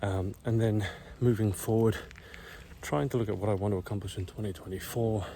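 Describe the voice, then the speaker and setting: A man's voice: on the low side, steady tone, a little fast, slight background noise. A middle-aged man whispering and out of breath as he walks uphill outside, talking through a casual vlog. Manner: whispering, hushed. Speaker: vlogger. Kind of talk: casual walking vlog monologue. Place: outdoors, walking uphill in a quiet neighborhood.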